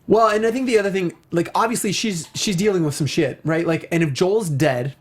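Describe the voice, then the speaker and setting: A man hosting a casual discussion show, talking in an excitable, emphatic, conversational way. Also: high voice, brisk, slightly rough. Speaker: show host. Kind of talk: casual film and game discussion.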